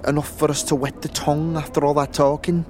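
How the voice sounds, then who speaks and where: A man playing a shifty, chatty young street guide in an audio drama, with halting slangy talk. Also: on the high side, level tone, darkish tone, a little hiss or hum, voice pushed up, close to an everyday voice. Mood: wheedling.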